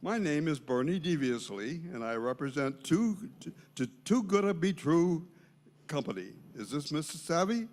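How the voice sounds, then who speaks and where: A man reading the part of a slick, flattering telephone salesman in an amateur comic skit, with smarmy, wordy sales patter. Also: a little high, normal speed. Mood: smooth-talking, pushy.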